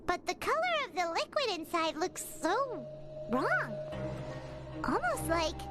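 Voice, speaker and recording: A tiny, excitable fairy sidekick from a video game. The voice is extremely high and childlike, swooping up and down with every exclamation. Loud music plays behind it. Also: female voice, slow pace, exaggerated voice. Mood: curious. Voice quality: squeaky, childlike. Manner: exclamatory, refers to self in third person. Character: tiny childlike floating fairy companion, excitable, cowardly, chatty. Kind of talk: video game voice acting, cartoonish.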